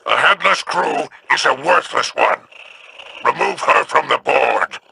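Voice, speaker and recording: An actor voicing a sly, scheming male crime boss with a smooth, sardonic drawl, in video game dialogue. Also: a little high, a little slow, pushed and bright, slight background noise, exaggerated voice.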